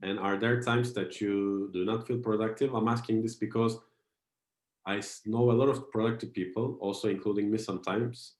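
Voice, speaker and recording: Man, podcast host, friendly hesitant questions, lowish voice, roomy echo. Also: steady tone, moderate pace, a little mellow. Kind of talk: interview questions.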